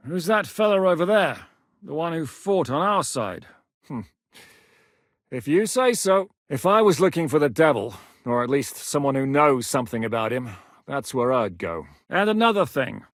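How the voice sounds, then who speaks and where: An actor playing a gruff, blunt one-eyed male commander who swears freely and jokes dryly in a video game. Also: on the high side, dry recording, studio quality. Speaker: military commander.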